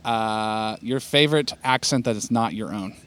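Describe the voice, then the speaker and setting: A man's voice: lowish voice, moderate pace, a bit bright. A man hosting a casual podcast interview outdoors, chatty and joking with his guest. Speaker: podcast host, pastor. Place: outdoors in nature.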